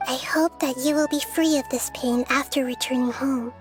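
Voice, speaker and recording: A calm and thoughtful young goddess, played by a woman, speaks with kindness and quiet wisdom. Her voice is high and clear. Faint game music plays behind. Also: moderate pace, lightly acted. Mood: gentle. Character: wise young female deity, calm and kind. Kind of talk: game cutscene voice acting.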